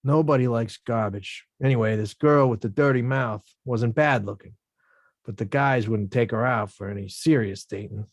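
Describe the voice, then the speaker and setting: A man's voice: medium pitch, normal speed, no echo, studio quality, natural voice. An actor in a table read playing a plainspoken working-class boxer, protective and streetwise in his slangy talk. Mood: concerned, lecturing. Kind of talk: screenplay table read acting.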